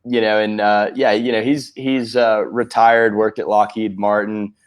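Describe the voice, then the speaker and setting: A man's voice: lowish voice, little pitch movement, measured pace, smooth. A man with an American accent hosting a casual interview in a laid-back, affirming way. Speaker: interview host.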